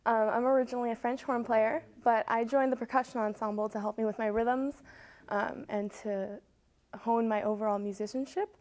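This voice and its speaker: Hesitant young woman, flat higher voice. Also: normal speed. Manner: hesitant, with many ums. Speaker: student musician. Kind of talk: interview statement.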